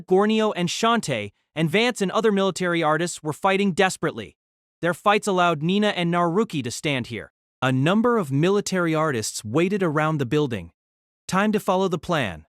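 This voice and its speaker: A woman's voice: fairly low pitch, lively intonation, normal speed, no room sound, clean studio sound. A woman narrating a novel in a scripted, dramatic storytelling style.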